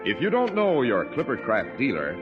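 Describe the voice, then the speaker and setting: A man's voice: medium pitch, huge pitch swings, normal speed. A man announcing a 1940s radio show in a formal, polished sponsor delivery. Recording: muffled vintage radio broadcast, loud organ music. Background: loud organ music.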